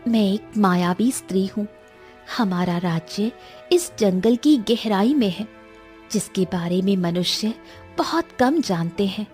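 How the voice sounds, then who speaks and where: A woman voicing a magical forest queen, an earnest and gentle mother, in a storybook tale. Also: medium pitch, expressive, measured pace, faint music behind, close to an everyday voice. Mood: solemn.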